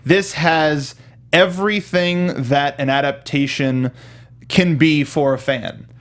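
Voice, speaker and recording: Man, talk show host, moderately high pitch, informal, halting delivery, casual review style. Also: steady tone, a bit bright. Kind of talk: casual chat, film review.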